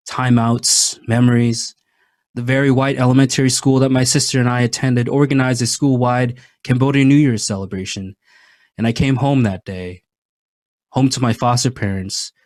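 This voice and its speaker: Measured, reflective young man, dry sound. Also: mid-range voice, no echo. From Maine, United States. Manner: measured and reflective, with deliberate pauses. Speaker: speaker at a storytelling event. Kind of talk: prepared personal storytelling talk. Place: event stage.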